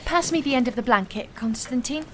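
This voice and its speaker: A woman's voice: sits high, animated, a little fast, noisy background. A woman playing a bitter, impatient, lovelorn young wife and mother in an audio drama, scornful and snappish. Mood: brisk. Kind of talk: naturalistic audio drama acting.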